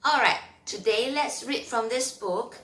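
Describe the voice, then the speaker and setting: A woman reading aloud and teaching as a children's storyteller, phrasing carefully and deliberately in short chunks. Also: fairly high pitch, pushed and bright, a little hiss or hum.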